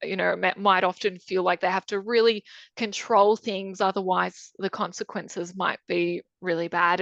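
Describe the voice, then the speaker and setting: A woman's voice: medium pitch, fairly bright tone, no room sound. Woman, author guest, chatty, thoughtful, frequent ums, muffled dry audio. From Croatia. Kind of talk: casual interview answers.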